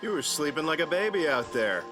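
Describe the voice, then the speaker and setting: A man's voice: fairly high pitch, big pitch swings, fairly quick. A man playing a laid-back, blunt, teasing friend with casual delivery in a video game. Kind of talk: naturalistic video game voice acting. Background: music.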